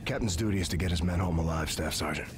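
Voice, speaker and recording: An actor playing a somber, principled lieutenant with a terse manner in a military video game. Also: male voice, sits low, fast, over music, natural voice. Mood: bitter, somber. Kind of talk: cinematic military game acting.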